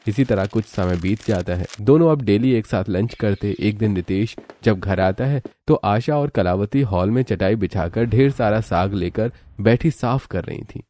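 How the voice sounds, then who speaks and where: A man reading scripted narration for an animated family story. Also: lowish voice, normal speed, hardly any pauses.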